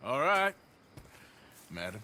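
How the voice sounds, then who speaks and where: A man playing a gruff, sardonic American cowboy gunslinger in a video game, with a dry drawl. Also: medium pitch, big pitch swings, slow, sharp tone, exaggerated voice. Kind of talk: video game cutscene acting. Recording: noisy outdoor game ambience under dialogue.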